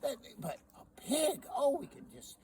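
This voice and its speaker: A man's voice: very high voice, very animated, measured pace, a little mellow, voice pushed up, heavily acted. A man voicing a cheerful, doting farm wife who is easily delighted, with fluttery speech and a noisy recording.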